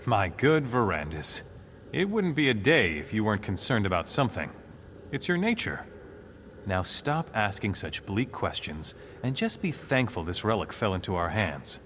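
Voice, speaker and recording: Animated, low-voiced hopeful nobleman. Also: moderate pace, a little hiss or hum. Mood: reassuring, dismissive. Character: hopeful nobleman, doting husband clinging to good cheer. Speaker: video game voice actor. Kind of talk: dramatic game dialogue.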